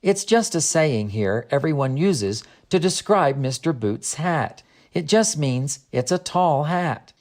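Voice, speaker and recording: A man's voice: a little high, animated, takes it slowly, hardly any pauses, darkish tone, voice pushed up. A man voicing a friendly woman guide in an audiobook story, patiently explaining things. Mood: patient, instructive. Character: Greta, friendly woman guide explaining her land's customs. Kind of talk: audiobook character dialogue.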